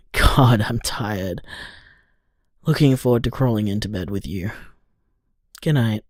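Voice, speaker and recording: An actor playing a tired, caring man who leaves a gentle, casual, reflective voicemail for his partner in an audio drama. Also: fairly low pitch, slow pace, no echo, clean studio sound. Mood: tired, affectionate.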